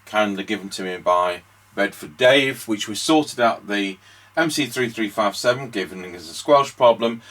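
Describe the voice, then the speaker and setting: A man's voice: lowish voice, animated, normal speed, fairly bright tone. A man giving casual demonstration commentary as a radio repair host and operator.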